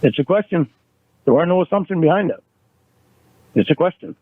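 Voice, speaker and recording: A man's voice: fairly high pitch, animated, brisk, no echo. A man arguing on a phone call, repeating accusations and stumbling over his words. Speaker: caller in a recorded phone argument. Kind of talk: heated phone argument. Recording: tinny dry phone line.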